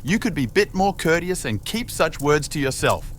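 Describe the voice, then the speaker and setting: A man's voice: a little high, very animated, normal speed, a little hiss or hum, pitched above the performer's own voice. A man voicing a pompous male royal guard who scolds in a prim, stuffy manner. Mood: scolding.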